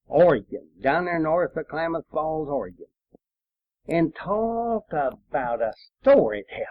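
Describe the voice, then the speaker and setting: An elderly man with a rural American accent tells old stories at a very unhurried pace. His voice sits a little high for a man, and his delivery is folksy and halting, wandering along as oral history. The recording is slightly dull and lo-fi. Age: 80s or older. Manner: folksy, halting, plain-spoken. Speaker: World War II veteran recounting his service. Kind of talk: rambling oral-history storytelling. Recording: muffled, roomy, lo-fi home recording.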